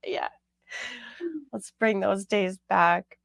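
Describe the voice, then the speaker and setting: A woman with a raspy voice hosting a casual radio talk show, rambling a little with fillers and repeated words. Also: medium pitch, steady tone, extremely slow, fairly bright tone, dry recording.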